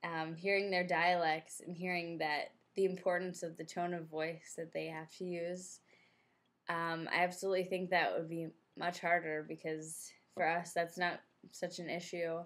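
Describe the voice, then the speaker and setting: A woman's voice: on the low side, little pitch movement, moderate pace, fairly bright tone. A young American woman answering interview questions in a chatty, casual way, leaning on filler words. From United States. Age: young adult. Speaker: interviewee, former exchange student.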